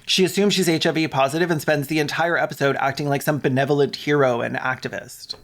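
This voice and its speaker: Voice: mid-range voice, fairly even intonation, fast talker. A commentator delivering a scripted video essay with casual asides, in a sarcastic, mocking and sweary manner.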